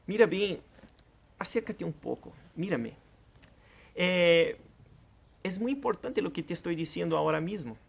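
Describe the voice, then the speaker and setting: A man's voice: sits high, lively intonation, unhurried, gravelly, pauses often, breathy edge. A man whose first language is Portuguese, preaching a sermon in an earnest, direct way and addressing the listener personally. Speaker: pastor.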